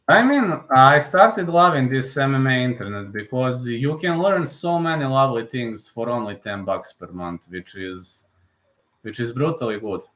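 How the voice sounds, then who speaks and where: A man's voice: mid-range voice, lively intonation, normal speed, fairly bright tone. Serbian-accented man talking fight analysis. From Balkans. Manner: opinionated, lecturing, frequent hesitations. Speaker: MMA analyst streamer. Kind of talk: casual livestream chat answering viewers.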